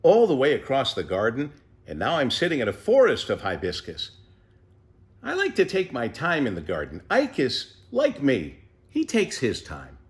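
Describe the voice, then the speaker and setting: A man reading a children's storybook as an unhurried, contented eighty-year-old pet tortoise who savours everything with wonder. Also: medium pitch, huge pitch swings. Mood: serene, savouring. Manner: savouring, leisurely, full of wonder.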